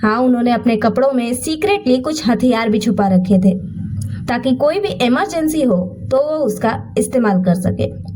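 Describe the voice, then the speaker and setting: A woman with a fairly high, soft-edged mellow voice narrates a bedtime tale. She phrases things deliberately, leaving suspenseful pauses as a dramatic storyteller does. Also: poor audio.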